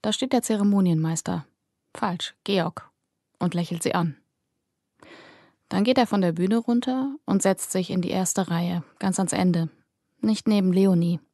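Woman reading a fantasy audiobook, lowish voice, calm steady telling, dry close mic. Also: measured pace, pauses often, no echo. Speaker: audiobook narrator. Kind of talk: scripted fantasy audiobook narration.

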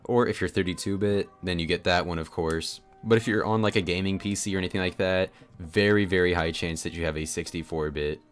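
A man with a deep voice talks quickly through a screen-recorded tutorial. He is chatty and informal, explaining things in plain steps, with music playing underneath. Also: fairly even intonation. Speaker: tutorial host. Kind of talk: casual screen-recorded software tutorial narration. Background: background music.

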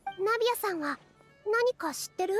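A sweet, very high-voiced young woman. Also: animated, normal speed. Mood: gentle, curious. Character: Nilou, gentle sweet young woman friend. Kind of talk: Japanese anime game voice acting. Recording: game music behind voice.

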